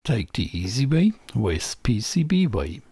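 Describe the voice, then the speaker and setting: A man's voice: mid-range voice, very animated, unhurried, warm tone, breathy edge, dry recording. A man presenting a scripted technical explainer in a didactic, emphatic way, as a close voice-over. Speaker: presenter of an electronics channel.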